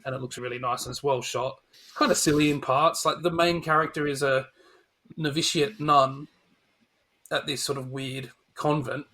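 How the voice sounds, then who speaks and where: A man's voice: medium pitch, steady tone, normal speed, bright, forceful tone, a little hiss or hum. A middle-aged man in casual, opinionated conversation as a podcast co-host, informal and dryly humorous. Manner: opinionated, informal, dry humour. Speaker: podcast co-host reviewing films. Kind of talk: casual film chat.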